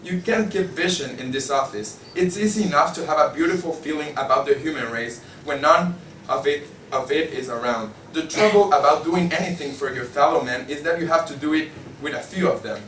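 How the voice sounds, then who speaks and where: A man's voice: fairly high pitch, a little fast, a bit bright, distant mic, lightly acted. Student acting a disillusioned male editor, echoey room. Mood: resigned. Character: jaded, disillusioned male editor of a radical journal. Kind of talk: student table read of a stage play. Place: indoor room, table read. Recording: echoey room from afar, faint noise.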